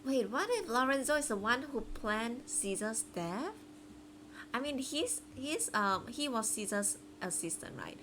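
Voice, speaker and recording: A woman's voice: fairly high pitch, lively intonation, a little slow, a bit bright, slight background noise. A woman live streamer giving casual gameplay commentary, thinking aloud in a chatty way.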